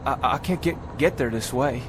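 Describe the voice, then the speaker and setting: A man's voice: mid-range voice, brisk, background noise, close to an everyday voice. An actor playing a bewildered pioneer man from the 1800s who stammers and halts anxiously, in a 1960s television drama. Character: frightened 1800s pioneer man lost in time.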